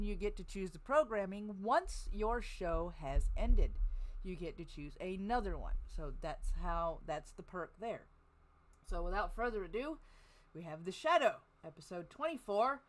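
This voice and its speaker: A woman's voice: mid-range voice, expressive, moderate pace, low-quality recording. A woman hosting a show in a casual style, chatting and making announcements. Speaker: host of an old-time radio show. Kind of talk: casual panel chat and announcements.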